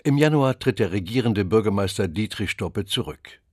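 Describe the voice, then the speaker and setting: Low-voiced male documentary narrator. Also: animated, breathy edge, dry recording, clean studio sound. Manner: measured, informative. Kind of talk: scripted narration.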